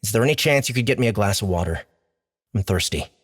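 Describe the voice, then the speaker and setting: An earnest young male prisoner, as a narrator puts him on, talking back to his captors. The low voice sits close and dry, typical audiobook narration. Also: lively intonation, fast talker, dry recording. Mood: nervous. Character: young man prisoner, defiant yet flustered. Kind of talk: audiobook character voice.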